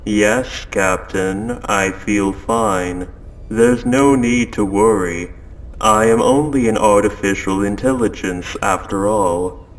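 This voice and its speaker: Man as a slow, low-voiced possessive spaceship AI. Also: animated, vocal fry, exaggerated voice, rough recording. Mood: calm, possessive. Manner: measured, formal, softly soothing and coaxing. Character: calm, possessive spaceship AI turning quietly obsessive. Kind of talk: scripted audio roleplay monologue. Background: ambient sound effects.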